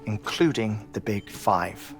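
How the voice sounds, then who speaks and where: A man playing an erudite scientist in a video game cutscene, explaining theories in a precise, formal, measured way. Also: on the low side, expressive. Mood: measured, explanatory. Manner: lecturing, precise, formal diction. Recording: music behind the voice.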